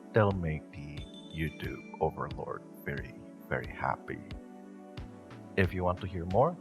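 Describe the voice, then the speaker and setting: A man who makes audio roleplays, speaking casually to his listeners in a channel outro. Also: a bass voice.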